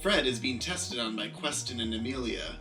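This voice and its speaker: A man's voice: medium pitch, expressive, moderate pace. A man working as stage manager, narrating a radio play in a theatrical announcing style. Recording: roomy echo, music behind.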